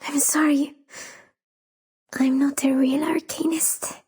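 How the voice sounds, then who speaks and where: Tragic game heroine, tender and pleading, high voice, slow delivery, music bed. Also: female voice, flat delivery, darkish tone. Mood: sorrowful, pleading. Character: tender, sorrowful, devoted young Italian sister. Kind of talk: scripted game character acting. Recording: music behind the voice.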